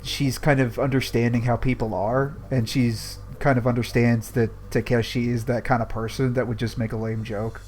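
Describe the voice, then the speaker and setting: A man's voice: medium pitch, flat delivery, normal speed, hardly any pauses, darkish tone. A man commenting casually on a podcast with a dry, wry way of talking.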